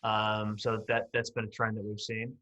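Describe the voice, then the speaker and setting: Male interview guest, late 30s, bright voice, explains conversationally and hedges as he goes. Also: lowish voice, completely flat delivery, moderate pace. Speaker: podcast guest, company CEO.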